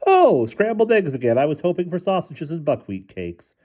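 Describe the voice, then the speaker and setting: A man voicing a mild father in a storybook, reasoning wryly in a drawn-out way. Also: fairly high pitch, very animated, darkish tone, muffled recording, voice pushed up. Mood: mildly grumbling.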